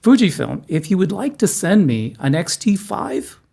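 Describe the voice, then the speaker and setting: A middle-aged man from South Texas, hosting a casual conversation, halting and repeating words, with a joking manner. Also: high voice, sing-song, vocal fry, mellow, husky. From South Texas, USA. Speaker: host.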